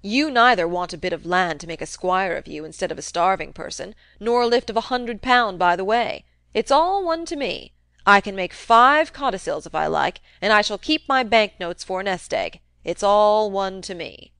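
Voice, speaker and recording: A woman voicing a cantankerous, domineering old country man who talks in a loud, emphatic, wheedling way. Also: mid-range voice, animated, moderate pace, clean tone, dry recording.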